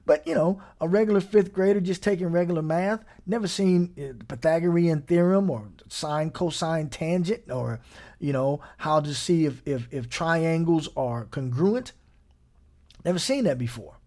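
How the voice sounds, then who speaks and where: A man's voice: a little high, lively intonation, moderate pace, dark tone. A man preaching a conversational sermon, often checking in with his listeners by asking "right?", now and then chuckling and dropping almost to a whisper. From Gary, Indiana.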